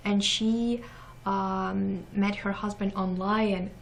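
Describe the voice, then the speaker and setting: A young woman from Ukraine speaks English with a clear Ukrainian accent. She pauses often and searches for words as she talks casually with interview hosts, and faint noise sits behind her. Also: mid-range voice, little pitch movement. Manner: halting non-native English, searching for words. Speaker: guest.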